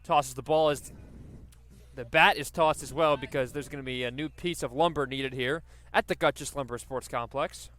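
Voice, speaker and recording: A man's voice: mid-range voice, moderate pace, fairly bright tone. A man doing live radio play-by-play commentary of a baseball game.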